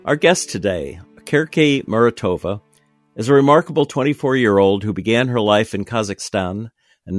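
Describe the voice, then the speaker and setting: A man's voice: on the low side, no room sound, studio quality. A man hosting a radio interview show, speaking in a friendly, plain manner.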